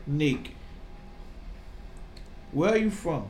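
A man's voice: a little high, animated, very slow, darkish tone. An American man in his thirties chatting casually as an interviewer, slangy and repeating phrases for emphasis. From Virginia, United States. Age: 30s. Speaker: podcast host and interviewer. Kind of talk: casual chat. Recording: echoey room, audible background noise.

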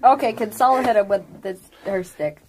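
A woman's voice: mid-range voice, fairly quick, a little mellow, low-quality recording. A woman playing a tabletop roleplaying game, chatting casually in and out of character, with some noise behind.

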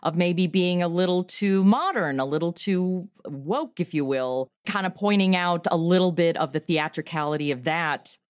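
A woman's voice: on the low side, moderate pace, hardly any pauses, dry recording, clean studio sound. A woman speaking casually as an interview guest, explaining her own creative work. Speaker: podcast creator being interviewed.

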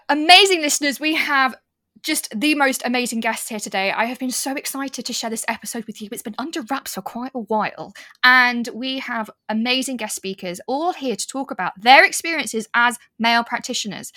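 A woman's voice: sits high, bright, forceful tone. A British woman hosting a podcast, bubbly and enthusiastic as she chats with guests. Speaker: podcast host. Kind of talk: lively podcast chat.

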